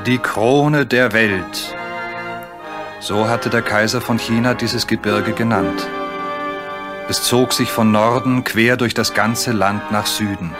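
Male narrator, somewhat deep, scripted storybook delivery, musical backing. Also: steady tone, slow pace, over loud music.

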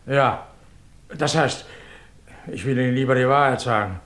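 Schauspieler als zögerlicher Zeuge, halliger Raum. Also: male voice, medium pitch, moderate pace, fairly bright tone, reverberant, close to an everyday voice. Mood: guarded, uneasy. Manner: hesitant, guarded. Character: uneasy, evasive dismissed male employee questioned by police. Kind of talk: German radio crime play acting.

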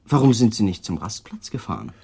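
An actor playing a shrewd, persistent male police detective in a radio drama, asking calm, politely needling questions. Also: fairly low pitch, fairly quick, breathy edge. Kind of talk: 1980s German radio drama acting.

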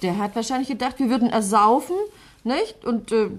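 A chatty, lively young woman, played by an actress who chimes in with her own theories. Her voice sits a little high, and she talks with a spirited, conversational bounce. It is vintage radio-play acting. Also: brisk, no echo, lightly acted. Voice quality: talkative, spirited. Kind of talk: 1960s German radio drama acting.

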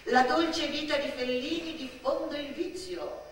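A woman performing a theatrical narrative monologue, animated and emphatic, building through repetition and lists. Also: high voice, unhurried. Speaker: stage actress and storyteller. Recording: very echoey theatre-like space, distant mic.

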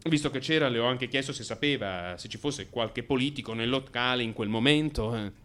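A man's voice: mid-range voice, a little fast, a bit bright. A man narrating a theatrical first-person radio drama monologue, dramatic and changeable in his delivery.

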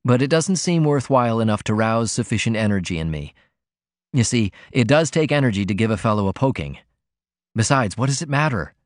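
A man voicing a weary, wry man in a storybook reading, speaking deliberately with quiet irony and contempt. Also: mid-range voice, lively intonation, no room sound, clean studio sound, close to an everyday voice. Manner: soft-spoken, deliberate, ironic.

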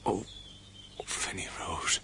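An actor playing a bashful, excitable young gentleman in love, who stammers when flustered, in a radio play. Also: slow pace, close to an everyday voice. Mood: tender, smitten. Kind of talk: radio drama acting. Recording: noisy old radio play recording.

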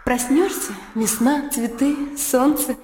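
An actress playing a blunt, spirited young country noblewoman in a radio drama, animated and outspoken. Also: high-pitched, slow, roomy sound, in a large hall, a little hiss or hum. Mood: dreamy, eager.

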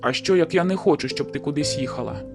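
A man's voice: fairly high pitch, fast, over music, natural voice. A man voicing a hurt, bitter young man in an audiobook, who speaks bluntly and wearily. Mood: pleading. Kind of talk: audiobook dialogue.

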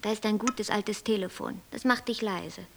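An actress playing a coolly logical, bossy creator deity who lectures and commands in a poetic radio play. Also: female voice, mid-range voice, normal speed, vocal fry. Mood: commanding. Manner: didactic, matter-of-fact, commanding.

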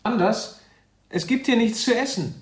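Casting: troubled, bewildered man in German radio drama, markedly raspy voice, ponderous formal delivery. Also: very high-pitched, normal speed. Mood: insistent, courteous. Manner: ponderous, searching, formal literary speech. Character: troubled, bewildered grown man meeting his younger double.

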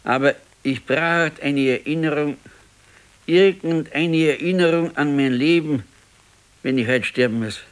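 An actor playing a brooding, stubborn old working-class pensioner and husband with a Bavarian accent in a radio play. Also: male voice, a little high, lightly acted. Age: older. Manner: slow, ruminating, stubborn. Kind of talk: 1970s naturalistic dialect radio play.

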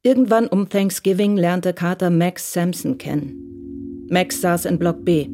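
A narrator reading a radio crime drama in a measured, even way. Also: medium pitch. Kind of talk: scripted narration. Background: music.

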